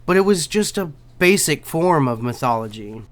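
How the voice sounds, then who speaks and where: A man playing an earnest, deferential magician pupil in an audio drama, speaking in a hesitant, halting way. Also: fairly high pitch, animated, a bit bright. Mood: flustered, deferential.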